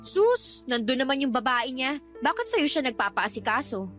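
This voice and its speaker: An actress with a Filipino accent playing a resentful, outspoken grown daughter in a radio drama, exasperated and complaining. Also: female voice, sits high, lively intonation, a little fast, pushed and bright, faint music behind. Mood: bitter.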